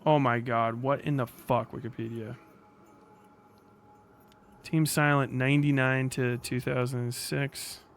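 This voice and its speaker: A man's voice: mid-range voice, steady tone, slow pace, pauses often. Sweary man rambling, some background noise. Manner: profane, rambling. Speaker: livestream host. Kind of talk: casual livestream reaction commentary.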